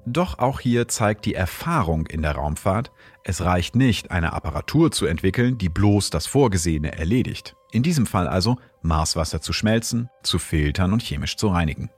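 A male science host reads scripted commentary in a measured, explanatory way. His voice is low and husky, and his pitch rises and falls a lot, over faint music. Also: expressive. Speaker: host and astrophysicist.

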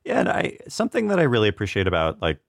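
A man co-hosting a podcast, talking in a relaxed, jokey way with plenty of filler words. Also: lowish voice, very fast, vocal fry, dry recording, clean studio sound. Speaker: podcast co-host. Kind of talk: casual podcast discussion.

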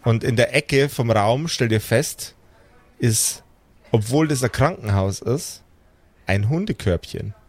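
A man acting as game master, narrating an improvised story in a measured, descriptive way, searching for words now and then. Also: mid-range voice, animated, slightly rough.

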